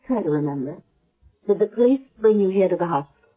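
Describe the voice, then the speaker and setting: An actress playing a caring, wry woman hospital doctor in a 1970s radio drama, with a brisk, reassuring manner. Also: mid-range voice, lively intonation, slightly rough. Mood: calm concern. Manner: brisk, reassuring professional questioning. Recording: dull lo-fi old radio broadcast.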